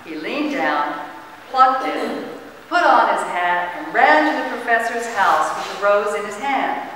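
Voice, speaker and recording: A woman narrating a story as a dramatic reading, with expressive, theatrical phrasing. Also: on the high side, unhurried. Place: large church, distant camera mic. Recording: echoey, noisy hall from far off.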